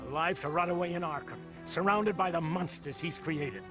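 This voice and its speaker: An actor playing a grieving, vengeful police commissioner in an animated series, bitter and stern. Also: male voice, fairly high pitch, normal speed, music in the background, natural voice.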